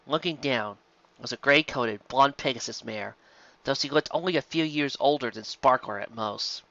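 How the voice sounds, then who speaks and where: A man reading a story aloud as narrator, in an expressive scripted style. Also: medium pitch, no echo, muffled recording. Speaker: narrator, fan fiction reader.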